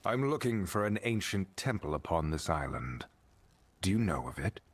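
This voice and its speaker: Deep, husky adventurer hero. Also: male voice, big pitch swings, normal speed. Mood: earnest, resolute. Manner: serious, formal. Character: earnest, resolute male adventurer hero. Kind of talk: fantasy game voice acting.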